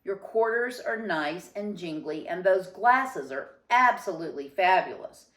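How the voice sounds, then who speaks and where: A woman's voice: on the low side, animated, unhurried, echoey room. A woman voicing a kindly, encouraging male schoolteacher in a children's storybook. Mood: gently firm.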